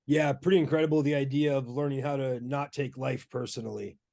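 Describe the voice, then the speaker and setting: A man's voice: mid-range voice, steady tone, a little fast, gravelly, a little mellow. A man chatting casually and informally on a video call, with a laid-back way of talking. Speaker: discussion participant and veteran. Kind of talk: casual chat, anecdotal.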